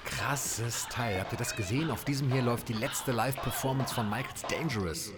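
A man playing a playful, excitable crew member in casual, colloquial radio play dialogue. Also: mid-range voice, brisk, loud music behind the voice, close to an everyday voice. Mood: excited, showy. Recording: clear background noise.

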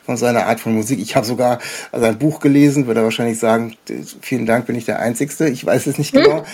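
Casting: casual interview host, soft dark tone with some rasp, enthusiastic, meandering delivery full of hesitations. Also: mid-range voice, fairly even intonation, fast. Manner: hesitant, rambling, enthusiastic fan talk. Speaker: podcast host.

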